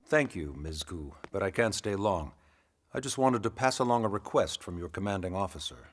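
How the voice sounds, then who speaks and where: A man playing a wry, formal, gently pushy government secretary in a video game cutscene, courteous and smug. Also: low-pitched, animated, normal speed. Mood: polite, dry. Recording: loud music behind the voice.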